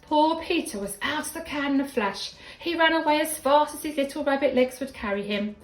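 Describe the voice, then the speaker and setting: A woman, sounding like a schoolteacher, reads a children's story expressively, with deliberate, dramatic emphasis. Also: high-pitched. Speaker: primary school teacher reading a story. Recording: echoey room with background music.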